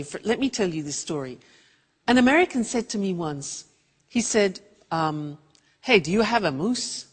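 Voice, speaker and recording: A female teacher with a husky, mid-pitched voice and lively intonation. She addresses viewers in deliberate short phrases, in a long reverberant space. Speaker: English teacher presenting lessons.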